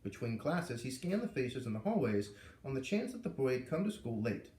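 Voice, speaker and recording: Animated man reading aloud, echoey room. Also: mid-range voice, a little fast. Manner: animated, informal asides. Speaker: read-aloud narrator. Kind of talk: expressive storybook narration. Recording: echoey room, far from the mic.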